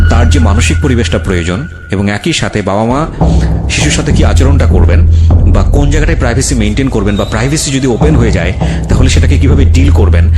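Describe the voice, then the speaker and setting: A man's voice: medium pitch, heavy background noise. A man with a Bangladeshi accent hosting a talk show, giving earnest, emphatic advice to listeners and mixing in English phrases. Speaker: radio show host. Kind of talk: conversational commentary and advice to listeners. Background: background music.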